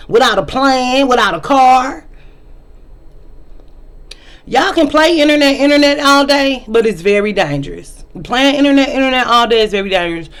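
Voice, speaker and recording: A woman, hosting a video show and lecturing her viewers in a preachy, emphatic way with lots of repetition. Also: high-pitched. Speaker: vlog host. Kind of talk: opinionated commentary monologue.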